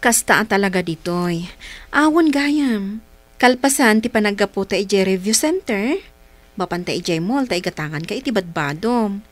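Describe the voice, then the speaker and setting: A woman playing a caring, chatty wife in a radio drama, conversational and expressive, with an Ilocano accent. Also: mid-range voice, moderate pace, dry recording, lightly acted. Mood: reassuring. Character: caring, chatty wife and older sister-in-law.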